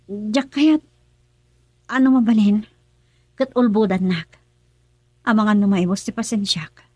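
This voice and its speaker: A woman's voice: mid-range voice, unhurried, a little mellow, husky, no room sound. An actress playing a young wife who suspects her husband in a radio drama, emotional and accusing, with an Ilocano accent. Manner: pleading then accusing, emotional.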